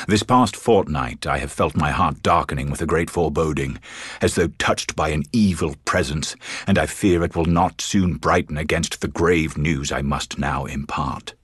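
A haunted, resolute English sea captain, narrates a letter for a board game. His voice is extremely low and husky, with formal, theatrical phrasing full of foreboding. The recording is dry, with no room sound. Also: no echo. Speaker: actor reading a game's introduction letter.

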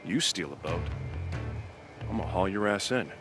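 A man playing a protective, by-the-book cop and older brother in a video game, gruff and authoritative. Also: sits low, expressive, moderate pace. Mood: stern, matter-of-fact. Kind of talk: cinematic game dialogue. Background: dramatic music.

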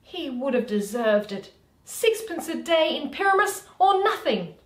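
A woman's voice: sits high, big pitch swings, measured pace, pitched above the performer's own voice. A woman performing a simple, earnest young male workman in a Shakespeare comedy, lamenting dolefully. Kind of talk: Shakespeare read aloud as solo performance. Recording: roomy home recording.

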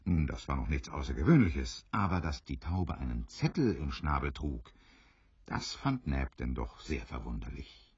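A man narrating an adventure radio play as a grave, expressive storyteller who builds suspense. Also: rumbling low, normal speed, husky, no echo, exaggerated voice. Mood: suspenseful. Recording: slightly dull, dry studio recording.

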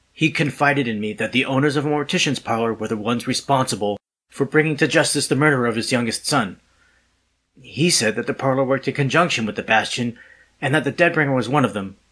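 An actor playing an anxious, polite man desperate for help in an audio drama, with a husky voice and a hesitant, earnest manner. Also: mid-range voice, a bit bright, close to an everyday voice.

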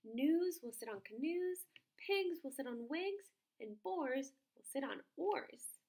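A woman voicing a boastful small male frog who speaks slowly, loudly and in a sing-song way. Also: animated, full character voice. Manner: high, loud, slow and sing-song.

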